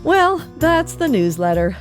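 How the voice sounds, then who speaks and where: A woman's voice: high-pitched, very animated, unhurried, faint music behind. A woman presenting a light scripted podcast segment in a wry, playful manner.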